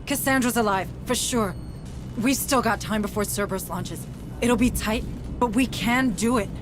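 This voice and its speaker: A woman's voice: fairly high pitch, normal speed, over music, natural voice. An actress playing a resolute, duty-bound woman officer in a video game, speaking with earnest determination. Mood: determined. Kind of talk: cinematic video game acting. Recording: orchestral score behind the voice.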